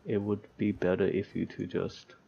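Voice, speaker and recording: A man's voice: fairly low pitch, monotone, normal speed, vocal fry, warm tone, a little hiss or hum. A man doing casual gameplay commentary, reading game text aloud and sometimes stumbling, recorded with a slightly dull lo-fi sound. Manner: mock-despairing asides, stumbles while reading. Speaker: gaming video host.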